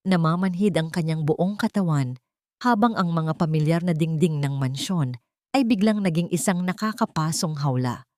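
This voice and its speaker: Lowish female dramatic story narrator. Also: moderate pace, darkish tone, dry recording, clean studio sound.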